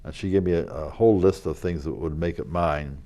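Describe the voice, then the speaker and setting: An older man, a local historical reenactor, telling rambling stories in a casual interview, with a loud voice and many fillers and restarts. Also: low voice, brisk. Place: community TV studio.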